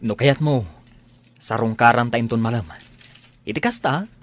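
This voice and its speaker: A man's voice: mid-range voice, moderate pace, close to an everyday voice. A man playing a cocky, helpful young drinking buddy in a radio drama. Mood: encouraging.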